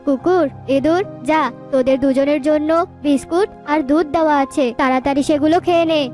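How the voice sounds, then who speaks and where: A woman's voice: high voice, steady tone, brisk, darkish tone, faint music behind, close to an everyday voice. A woman voicing an anxious, money-minded bird in a children's cartoon, fretful and often thinking aloud. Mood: caring.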